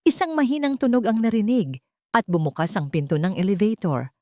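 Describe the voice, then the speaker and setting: A woman reading a romantic drama story as narrator, in a dramatic, expressive scripted style. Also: mid-range voice, brisk, no room sound, studio quality.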